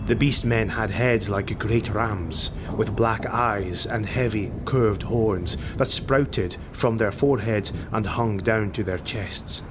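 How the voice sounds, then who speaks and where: A man's voice: lowish voice, slow pace, few pauses, noisy background. A man narrating a fantasy audiobook in a scripted, dramatic style.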